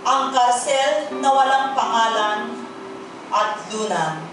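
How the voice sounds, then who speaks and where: A woman with a Filipino accent gives a solemn, dramatic poetry recitation, deliberate and theatrical, with pauses. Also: fairly high pitch, animated, background noise. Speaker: poetry reader at a human rights gathering. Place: indoor auditorium event. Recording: very echoey auditorium, distant microphone. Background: plucked guitar accompaniment.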